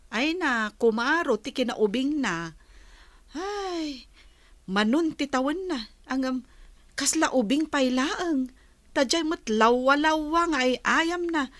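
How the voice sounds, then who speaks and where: A woman's voice: high-pitched, fairly bright tone, dry recording, heavily acted. A woman playing an exasperated village mother who nags and complains, in Ilocano-accented Filipino radio drama style. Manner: nagging, complaining. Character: exasperated village mother scolding her idle son.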